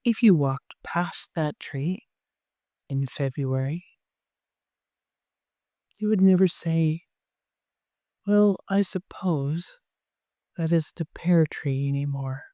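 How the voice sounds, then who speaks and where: Gentle, slow meditation guide with a mellow voice. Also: mid-range voice, dry recording, clean studio sound. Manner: gentle, unhurried, softly spoken with long pauses.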